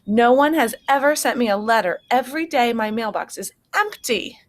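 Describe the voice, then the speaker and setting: A woman's voice: fairly high pitch, expressive, moderate pace, voice pushed up, natural voice. A woman voicing a sad, resigned male toad in a children's storybook, with a plaintive manner.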